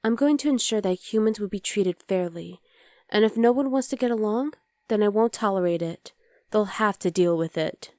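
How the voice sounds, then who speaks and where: A woman playing an affectionate, playful young demon girlfriend in an intimate ASMR roleplay, speaking softly to the listener. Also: medium pitch, normal speed, dry recording, clean studio sound, natural voice. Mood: determined.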